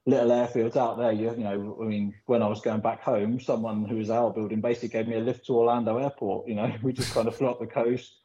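A man's voice: lowish voice, fast, few pauses. Man telling rambling stories, echoey. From Philippines. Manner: rambling, animated storytelling with frequent fillers. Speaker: pool player guest. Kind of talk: casual podcast interview. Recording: echoey, dull lo-fi recording.

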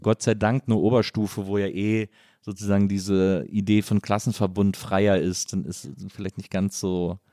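A man's voice: lowish voice, level tone, normal speed, warm tone, no room sound, clean studio sound. A man hosting a casual interview, talking in a colloquial, rambling way. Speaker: podcast host, interviewer.

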